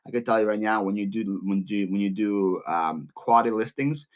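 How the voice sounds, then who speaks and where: A man's voice: fairly low pitch, a little fast. A man with a Ukrainian Philadelphia accent hosting a casual live-selling stream, chatty and often repeating and restarting his words. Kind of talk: casual livestream teaching.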